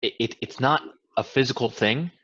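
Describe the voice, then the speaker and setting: An actor in a staged play reading, playing an evasive man selling a scheme, halting and exasperated. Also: medium pitch, fairly bright tone, husky, muffled recording.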